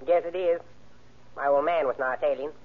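An actor playing a tall, sly, cocky car thief with a Texas drawl, slangy and evasive, in old-time radio crime drama. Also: male voice, fairly high pitch, fairly even intonation, fairly quick, lightly acted. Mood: defiant. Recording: tinny, band-limited old radio recording.

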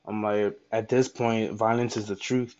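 A man hosting a solo podcast, speaking off the cuff in an impassioned, halting way and repeating his phrases. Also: fairly low pitch, flat delivery, a little mellow, dull audio. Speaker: podcast host. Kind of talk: unscripted solo podcast monologue.

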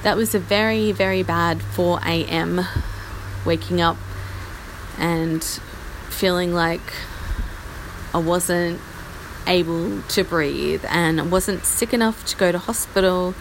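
An Australian woman in her forties, husky from a chest infection, rambling through a self-deprecating update from a small outdoor patio. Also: fairly low pitch, fairly even intonation, slow pace, a little hiss or hum, rough recording. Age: 40s. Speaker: podcast host. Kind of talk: casual personal update. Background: faint rain.

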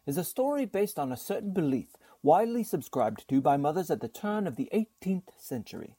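A man's voice: a little high, big pitch swings, fairly quick, dark tone, breathy edge. A man announcing a radio drama in a grand, dramatic 1940s style. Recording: prominent music behind the voice.

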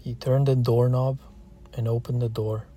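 A man narrating a scripted guided meditation, calm and gentle, leaving long pauses between short phrases. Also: mid-range voice, faint music behind.

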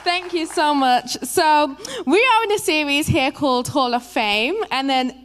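A young woman with a Birmingham English accent, preaching as a guest speaker in a chatty, self-deprecating way with quick asides. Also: high-pitched, a bit bright. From England. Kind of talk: sermon introduction.

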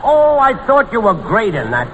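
Very high-voiced, exclaiming radio goof. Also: male voice, huge pitch swings, normal speed, fairly bright tone, dull audio, heavily acted. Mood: enthusiastic. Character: loud, dim, cheerful working-class New York goof. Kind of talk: 1940s radio comedy acting. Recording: noisy vintage radio, echoing audience hall.

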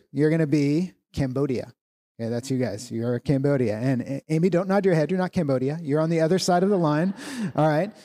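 A man leading a church family meeting, giving relaxed, joking instructions to tables of people. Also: on the high side. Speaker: church leader.

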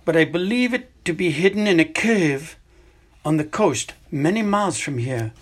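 Man putting on Dumbledore, a high gentle old wizard. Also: expressive. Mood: grave. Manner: gentle, measured and grave. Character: old wise male wizard headmaster, calm and grave.

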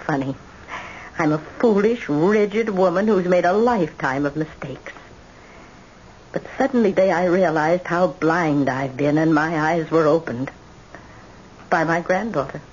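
A woman's voice: low-pitched, unhurried, darkish tone. An actress playing a stern, repentant old grandmother in an old-time radio drama. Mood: remorseful, grave. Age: older. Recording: muffled, noisy old radio recording.

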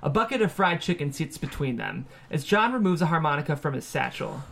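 A man narrates a screenplay at a table read. His voice is fairly high for a man, and he delivers scene headings and action lines as scripted narration. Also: sing-song, fairly quick, gravelly, fairly bright tone. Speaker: stage-direction reader.

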